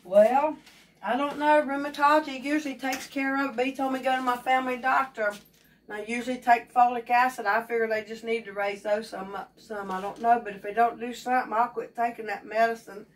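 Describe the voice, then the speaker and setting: A woman's voice: on the high side, fairly bright tone, slight background noise. An older Appalachian woman hosting a home cooking show, chatting and teasing in a folksy way while she cooks in her kitchen. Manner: folksy, teasing, laughs often. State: sore mouth, recovering voice. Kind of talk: casual cooking chat. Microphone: camera at a distance. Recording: distant camera mic in a kitchen.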